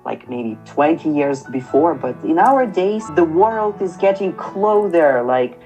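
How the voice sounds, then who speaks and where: A woman working as an online English tutor, talking in a chatty, reflective way with soft laughs. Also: low voice, animated, unhurried, lo-fi sound, soft background music. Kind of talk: casual conversation.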